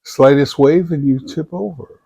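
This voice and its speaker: Slow, mellow older man. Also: fairly high pitch, gravelly, slightly rough, dry recording, dull audio. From Samoa. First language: Samoan. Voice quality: measured, thoughtful delivery with frequent fillers. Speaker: interviewee, retired professor. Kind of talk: reflective interview answers.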